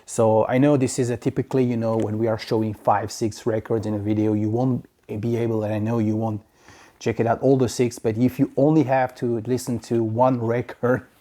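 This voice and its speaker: A man from France with a French accent, chatting casually about his record purchases in a halting way, full of fillers and restarts. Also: medium pitch, flat delivery, warm tone. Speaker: vinyl collector vlogger. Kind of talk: casual record haul review. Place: home in Quebec.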